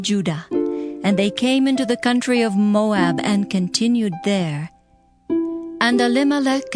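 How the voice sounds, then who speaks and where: A woman narrator reading scripted scripture in a measured, solemn manner. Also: fairly high pitch.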